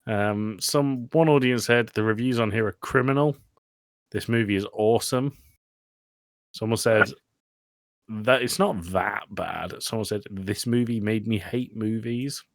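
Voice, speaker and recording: A man's voice: on the low side, unhurried, no echo, clean studio sound. A man hosting a podcast, chatting casually and jokingly about films. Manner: joking, conversational. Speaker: podcast host. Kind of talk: casual film discussion.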